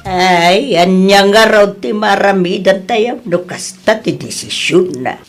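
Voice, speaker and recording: A woman's voice: mid-range voice, slow, faint music behind. A woman acting an older Ilocano mother in a drama, plain-spoken, advising and consoling her grown child. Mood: gentle, resigned.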